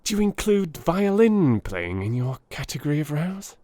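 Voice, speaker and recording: A man playing an eager, eccentric gentleman detective in a theatrical audiobook, speaking frankly in brisk, changeable, gentlemanly phrases. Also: fairly high pitch, very animated, no echo, pitched above the performer's own voice. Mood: anxious. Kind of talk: theatrical audiobook character acting.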